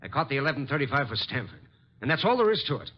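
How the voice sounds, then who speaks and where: An actor playing a tough, defensive ex-convict in an old radio drama, husky and blunt. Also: male voice, a little high, very animated, racing. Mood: defensive, irritated. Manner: blunt, combative. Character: tough, defensive ex-convict businessman going straight. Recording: dull old radio recording.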